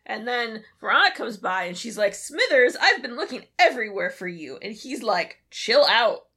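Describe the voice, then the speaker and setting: A woman co-hosting a show, casually recapping a story in an animated way and acting out bits of dialogue. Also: fairly high pitch, moderate pace. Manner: animated, acts out characters' lines in retelling. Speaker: podcast co-host. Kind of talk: casual episode recap chat.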